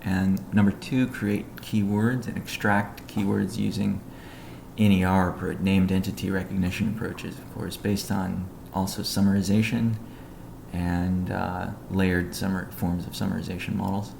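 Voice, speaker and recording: A man giving a business briefing on a conference call as a company executive, in a measured, halting way with technical jargon. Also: low-pitched, level tone, creaky, a little mellow. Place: conference call, echoey room. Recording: echoey room, faint background noise.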